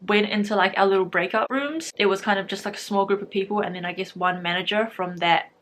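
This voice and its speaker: A woman's voice: mid-range voice, steady tone, fast talker, a bit bright, slight background noise. A young woman in her twenties with a New Zealand accent, chatting casually to camera, full of fillers and frequent laughs. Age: 20s. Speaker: vlogger.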